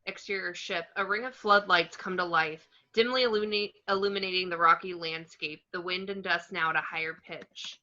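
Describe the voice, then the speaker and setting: Female narrator for screenplay action lines; very bright, forceful tone, slightly muffled lo-fi sound. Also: on the low side, steady tone, fairly quick, few pauses. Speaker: narrator reading stage directions. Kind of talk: scripted screenplay narration at an amateur table read.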